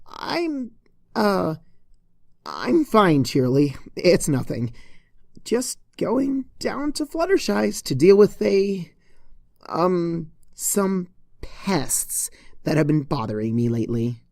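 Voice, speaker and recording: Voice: mid-range voice, big pitch swings, takes it slowly, gravelly, a little mellow, no room sound, studio quality, pitched above the performer's own voice, heavily acted. A reader voicing a flustered, snippy young female pony who gets indignant and defensive in a storybook reading. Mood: flustered, covering up. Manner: indignant, defensive, faltering when embarrassed. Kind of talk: storybook character voice in fan fiction reading.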